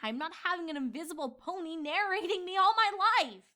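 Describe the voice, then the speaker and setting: A woman voicing young female cartoon ponies in a theatrical, expressive fan-fiction reading, by turns exasperated and fussy. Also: very high voice, a little fast, heavily acted.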